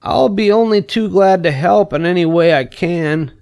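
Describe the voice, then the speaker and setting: A man's voice: sits high, normal speed, gravelly, darkish tone, clean tone, pitched above the performer's own voice. A man voicing an earnest, anxious young male pig in a storybook reading, pleading and eager.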